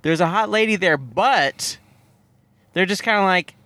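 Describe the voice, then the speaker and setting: A man hosting a podcast, walking through a plot with loose, joking asides. His voice sits fairly high and swings a lot in pitch. The sound is dry and close, with no room around it. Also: animated, unhurried, bright, forceful tone, dry recording. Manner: joking, laid-back retelling of plot. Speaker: podcast host. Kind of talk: casual chat.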